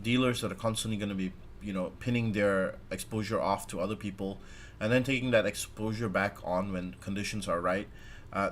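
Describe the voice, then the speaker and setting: Raspy-voiced man hosting a market livestream. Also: lowish voice, slight background noise. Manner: relaxed, chatty, with many "uh" fillers. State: slightly raspy from a recovering sore throat. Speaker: stock market livestream host. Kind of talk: casual livestream market commentary.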